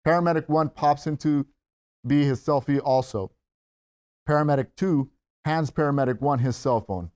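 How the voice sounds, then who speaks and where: A man's voice: on the high side, normal speed, darkish tone. A man narrating screenplay stage directions at a table read.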